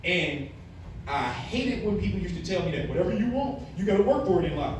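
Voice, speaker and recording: A man's voice: high-pitched, sing-song, a little fast. A man in his late thirties giving a blunt, candid talk to young people, stressing points with rhetorical questions. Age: late 30s. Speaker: motivational speaker to youth. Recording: very echoey room, far from mic, faint noise.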